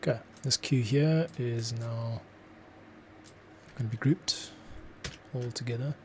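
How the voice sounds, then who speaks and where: A man's voice: mid-range voice, slow pace. A man giving casual work-along commentary as a composer, muttering playful asides to himself. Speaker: music composer giving a screen tutorial. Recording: noticeable background noise, keyboard typing.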